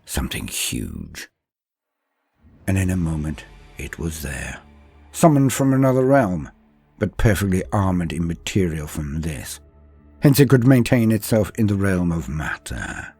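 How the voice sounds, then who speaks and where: A man narrating a dark fantasy battle tale in a grave, theatrical way, with a husky voice. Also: sits low, big pitch swings, unhurried, no echo. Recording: background music under the voice. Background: music.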